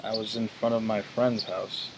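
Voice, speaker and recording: A man in his twenties answering interview questions in a hesitant, measured way with fillers. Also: lowish voice, level tone, background noise. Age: 20s. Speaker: interview guest.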